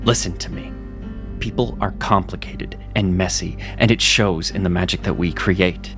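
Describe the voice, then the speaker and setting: A man's voice: low-pitched, normal speed. A man voicing Seraphin, a wry cat mentor. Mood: steady. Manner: steady, reassuring, self-critical. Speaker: voice actor. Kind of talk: audio drama acting. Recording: music underneath.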